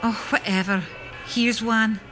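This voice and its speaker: An actress as a bored young café waitress in a radio comedy. She drawls slowly in a highish voice, sullen and offhand with every customer. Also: steady tone, a bit bright, soft background music, rough recording. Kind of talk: radio play comedy acting.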